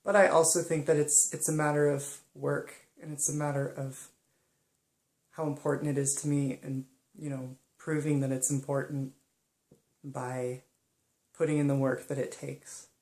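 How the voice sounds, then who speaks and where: A young woman speaking softly and hesitantly in a personal monologue, pausing often. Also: low voice, flat delivery, echoey room. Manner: soft-spoken and hesitant, many pauses and ums. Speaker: vlogger.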